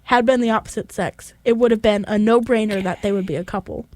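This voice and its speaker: A woman's voice: medium pitch, fast talker, mellow, no room sound. A young woman from Tennessee with a light Southern accent, co-hosting a college radio chat show in a giggly, chatty way. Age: young adult. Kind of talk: casual chat.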